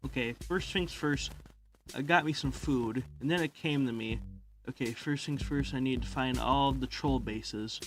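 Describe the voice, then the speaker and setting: A man's voice: medium pitch, a little slow, soft background music, close to an everyday voice. A man voicing a goofy, boastful stoner echidna in an amateur comic dub, rambling in slang. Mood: rambling narration. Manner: rambling, slangy, says lol aloud.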